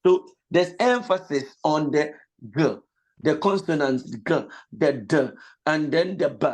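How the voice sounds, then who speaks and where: A male pastor lecturing on voice over a video call, his tone sitting high for a man. He talks at an unhurried pace and goes back over each point, with a cold wearing on his voice. Now and then he drifts into short sung lines to show what he means. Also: animated. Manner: explains slowly, repeats points, breaks into sung examples. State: has a cold, voice slightly lost. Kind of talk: online vocal training lecture with sung demonstrations.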